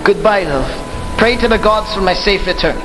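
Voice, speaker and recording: A man's voice: high voice, animated, normal speed, background noise. A man playing a dutiful, tender king in a radio play of Greek myth, speaking solemnly in formal old-fashioned diction. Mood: tender farewell. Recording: reverberant hall sound, clear music behind.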